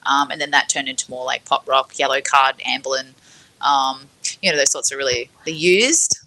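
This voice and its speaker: A woman's voice: very deep voice, moderate pace, sharp tone, low-quality recording. A woman chatting casually on a livestream panel, reminiscing about herself in an effusive, rambling way. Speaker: livestream panel guest and music fan.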